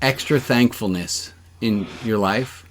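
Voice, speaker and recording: A man's voice: on the low side, slow pace, background noise. A man speaking as a rabbi leading an informal online service, conversational and joking, encouraging people to take part. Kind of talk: informal interactive sermon, leading exercises.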